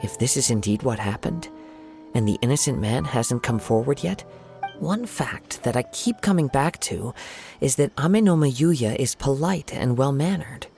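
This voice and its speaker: A man voicing a calm young wandering samurai in a video game, measured and thoughtful as he reasons through a case. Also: medium pitch, gravelly, soft background music.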